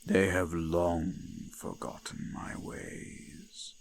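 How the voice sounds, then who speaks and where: A man playing an ancient, possessive forest god in an intimate roleplay audio, speaking formally and ominously in a breathy, gravelly murmur that often drops to a whisper. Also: low-pitched, flat delivery, very slow, a little hiss or hum, full character voice. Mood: stern.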